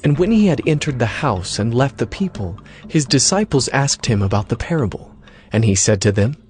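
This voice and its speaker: A man's voice: medium pitch, big pitch swings, moderate pace, a little mellow. A man narrating scripture from a script in a dramatized audio reading. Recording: music clearly behind the voice.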